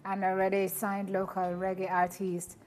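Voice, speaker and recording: A woman's voice: medium pitch, completely flat delivery, normal speed, a little mellow, slight background noise. A woman hosting a morning TV show, playful and teasing with her guest. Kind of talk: casual morning TV interview. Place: TV studio.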